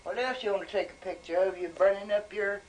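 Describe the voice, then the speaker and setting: A woman's voice: mid-range voice, fairly even intonation, fast talker, darkish tone. An elderly woman chatting casually and teasingly at home in folksy rural speech. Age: 70s. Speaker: grandmother being filmed at home. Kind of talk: casual chat. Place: small home kitchen, indoors. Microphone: camcorder microphone. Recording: noisy amateur home video.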